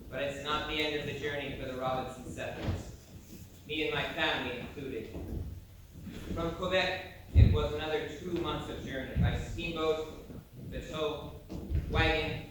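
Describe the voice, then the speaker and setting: A reflective settler played by a man delivers scripted stage narration. The voice is a little high, distant and very echoey, with noticeable noise behind it. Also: fairly even intonation, moderate pace, pauses often, close to an everyday voice. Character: reflective male Irish settler recounting his nautical tale. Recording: echoey hall from far off, noisy.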